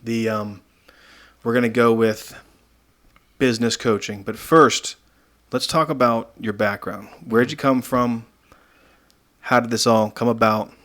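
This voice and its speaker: A man's voice: mid-range voice, steady tone, unhurried, long pauses, a bit bright. A man hosting a podcast, chatting casually as he interviews.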